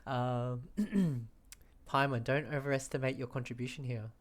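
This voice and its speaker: Very deep-voiced woman reacting to a game. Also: steady tone, a little slow. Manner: chatty, informal. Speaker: gaming streamer. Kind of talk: casual reaction commentary on a game. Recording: game music behind the voice.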